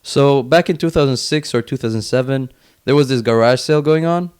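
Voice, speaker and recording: A man's voice: mid-range voice, brisk, darkish tone, smooth. A man from Finland chatting casually as an interview guest, in informal speech peppered with "like". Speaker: podcast guest, young entrepreneur.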